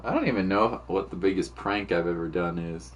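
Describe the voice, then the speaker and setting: A man's voice: deep voice, fairly quick. A man streaming a game, chatting casually in a rambling, teasing, slangy way. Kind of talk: casual chat while playing.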